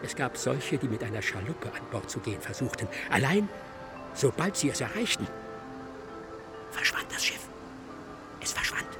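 Obsessive scholar, radio drama, theatrical, insistent, very animated intonation, music underneath. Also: male voice, medium pitch, normal speed, long pauses. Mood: hushed, ominous. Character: excitable, self-absorbed male scholar expounding his dark ghost-ship thesis. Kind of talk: German radio drama acting.

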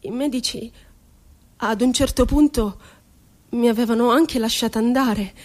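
High-voiced woman a wry, wounded poet. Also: measured pace, darkish tone. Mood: bitter, reflective. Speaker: stage actress. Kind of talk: theatrical monologue for radio drama.

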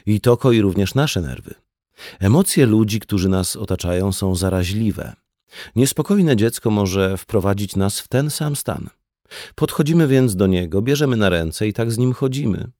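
A man's voice: fairly low pitch, warm tone, no echo, studio quality. A man reading a conversational non-fiction audiobook as scripted narration. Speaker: audiobook reader.

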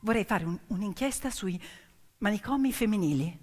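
A woman's voice: mid-range voice, expressive, moderate pace, a little mellow, in a large hall, pitched below the performer's own voice, close to an everyday voice. A woman storyteller playing a composed, determined young reporter of twenty-one in a stage monologue.

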